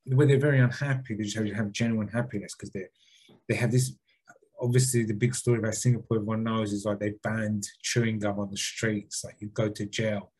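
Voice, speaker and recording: A man's voice: on the low side, flat delivery, brisk, darkish tone, husky, rough recording. A man co-hosting a podcast, reading out a listener email and then rambling, hedging and swearing freely in casual chat. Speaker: podcast co-host.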